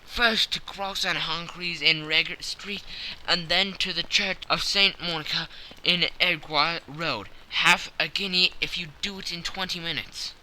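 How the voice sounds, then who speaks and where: A man voicing a frantic, flustered gentleman who shouts urgent orders in an audiobook story. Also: on the high side, measured pace, slight background noise, pitched above the performer's own voice, low-quality recording. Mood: urgent, commanding. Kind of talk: audiobook character acting.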